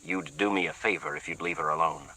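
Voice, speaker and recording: A man playing a tense boss who speaks low, quiet and tight. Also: brisk, no room sound, distant mic, heavily acted, poor audio. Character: tense, controlled male boss.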